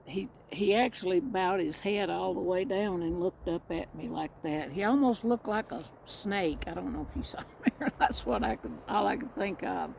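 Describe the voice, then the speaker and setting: Middle-aged Southern woman vlogger, gravelly, rambling chatty storyteller, faint outdoor noise. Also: lowish voice, moderate pace, a little mellow, poor audio. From Mississippi and Indiana. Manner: rambling, restarts often, chatty. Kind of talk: casual storytelling to camera. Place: outdoors, storm coming.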